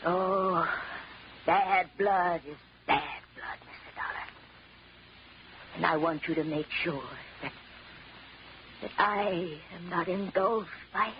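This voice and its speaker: An actress playing a tiny, aged, genteel widow, wistful and fretful, in a quavering, theatrical 1940s radio drama style. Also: female voice, fairly low pitch, takes it slowly, long pauses. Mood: grave, fretful. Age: older. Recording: dull, noisy old radio recording.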